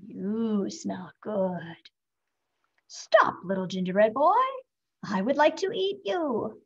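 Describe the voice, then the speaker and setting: Woman doing a slow hungry cow. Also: medium pitch, lively intonation, vocal fry, slightly rough, lo-fi sound, exaggerated voice.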